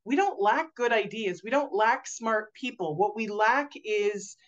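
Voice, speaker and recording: A woman in her forties, a guest on a video call interview, talking loudly and animatedly with lots of fillers and restarts. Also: mid-range voice, measured pace, dull audio. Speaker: guest author. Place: home room indoors. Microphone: video call webcam.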